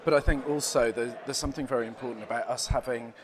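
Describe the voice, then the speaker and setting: A man being interviewed as a guest at a conference, answering in a thoughtful, measured way with hesitant fillers. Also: medium pitch, fairly even intonation. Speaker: event guest. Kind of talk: conversational interview. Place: busy conference venue. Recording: busy crowd noise behind. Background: crowd chatter.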